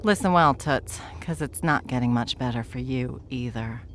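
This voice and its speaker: A woman playing an ancient, smug, wry stranger in an ASMR fantasy roleplay, with theatrical, taunting delivery and a gravelly voice. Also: a bass voice, a little hiss or hum. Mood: smug teasing. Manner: sardonic, theatrical, unhurried taunting. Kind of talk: ASMR audio roleplay acting.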